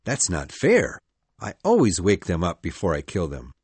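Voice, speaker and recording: A woman's voice: rumbling low, huge pitch swings, normal speed, a little mellow, breathy edge, dry recording, voice pushed down, full character voice. A woman reading aloud, voicing a boastful, fearless young boy leader in a matter-of-fact, cocky way.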